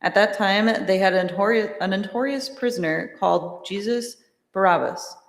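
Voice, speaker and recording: A woman narrating a scripture drama in a church, with a measured, formal, solemn delivery. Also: medium pitch. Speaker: narrator of Passion reading. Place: large church, live reading. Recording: echoey church with long reverb.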